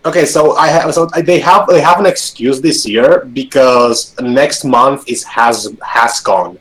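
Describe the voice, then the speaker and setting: A man's voice: medium pitch, a little slow, a bit bright, poor audio. A man whose first language is Romanian, chatting about tabletop games as a podcast co-host, hesitant and full of restarts. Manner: hesitant, many restarts, laughs often. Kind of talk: casual gaming chat.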